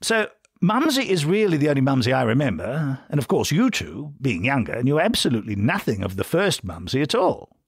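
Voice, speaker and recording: A man's voice: mid-range voice, sing-song, a little fast, hardly any pauses, slightly rough, dry recording, studio quality, pitched above the performer's own voice. A man voicing a polite, slightly hesitant big brother with an upper-class British accent in a storybook. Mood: polite, proper. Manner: polite, hesitant, old-fashioned diction.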